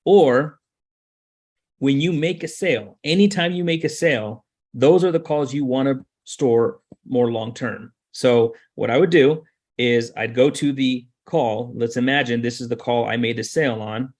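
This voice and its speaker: A man giving an informal, step-by-step screen-share tutorial as a software trainer, explaining and repeating points for emphasis. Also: mid-range voice, measured pace.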